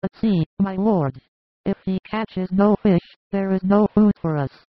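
A woman's voice: mid-range voice, a little slow, no echo, close to an everyday voice. A woman playing a humble, overworked village mother in a scripted audiobook drama, earnest and deferential. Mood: earnest, weary. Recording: dry, narrow phone-like sound.

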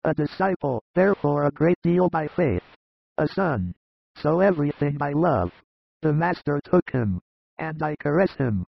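A man voicing a warm-hearted, plain-spoken male disciple with a fatherly, earnest manner, in an audiobook reading. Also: on the high side, animated, a little slow, vocal fry, husky, lo-fi sound, pitched above the performer's own voice, heavily acted. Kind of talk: audiobook reading of a religious narrative.

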